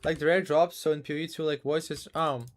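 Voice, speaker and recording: A man from Ukraine, casually commentating a live game stream, halting and repeating words as he talks. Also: on the high side, flat delivery, slight background noise.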